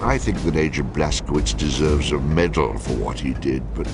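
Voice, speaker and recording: A middle-aged man playing a genial, authoritative intelligence chief in a video game, measured and wry. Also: a bass voice. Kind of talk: dramatic video game cutscene acting. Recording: voice with music behind.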